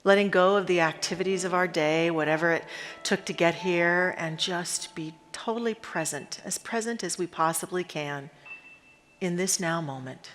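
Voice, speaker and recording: A woman leading a guided meditation in a reverent, unhurried manner, pausing deliberately between short phrases. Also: on the low side, fairly bright tone. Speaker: spiritual leader guiding a meditation. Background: instrumental music.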